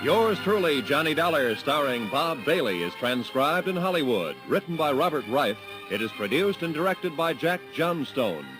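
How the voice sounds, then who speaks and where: A man's voice: sing-song, normal speed, hardly any pauses, low-quality recording. A male radio announcer giving the formal closing credits of a 1950s drama series. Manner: formal, booming. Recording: old radio transcription with music under.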